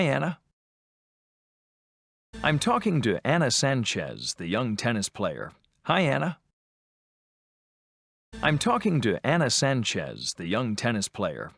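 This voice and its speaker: An adult voice playing an interviewer in a scripted textbook dialogue, asking friendly questions with measured delivery. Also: mid-range voice, huge pitch swings, vocal fry, a bit bright, no echo, heavily acted. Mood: plain.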